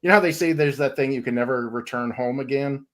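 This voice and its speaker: A man's voice: mid-range voice, quick pace. A man from New England telling rambling stories in a casual panel chat, laughing often as a comic creator guest.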